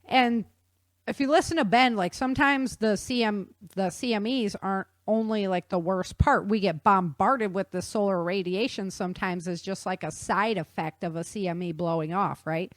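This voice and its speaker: A woman's voice: mid-range voice, moderate pace, no room sound. A woman hosts a podcast in a casual, explanatory style. Her mid-range voice is enthusiastic and folksy, with informal phrasing. The sound is dry and close, with hardly any room in it. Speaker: podcast host.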